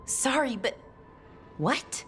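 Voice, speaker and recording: An actress playing a curious, thoughtful young elf mage in a video game cutscene. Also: female voice, on the high side, sing-song, extremely slow, fairly bright tone, faint music behind, exaggerated voice. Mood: baffled.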